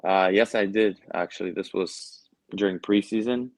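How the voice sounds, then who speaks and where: Young man, Canadian accent, very deep voice, dry close sound, easygoing, hesitant. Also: moderate pace, no echo. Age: young adult. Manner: relaxed, hesitant with many fillers. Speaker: guest soccer player. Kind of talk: casual interview answers.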